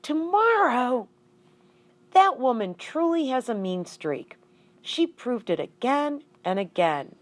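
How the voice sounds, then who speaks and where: Woman as a squeaky, excitable little pet animal. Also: takes it slowly, no echo, full character voice. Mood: indignant. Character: small excitable male classroom pet, squeaky and indignant.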